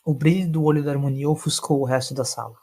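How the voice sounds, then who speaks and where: A male narrator reads a scripted story with dramatic delivery. His pitch is a little higher than most men's. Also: fairly even intonation, quick pace, darkish tone, husky.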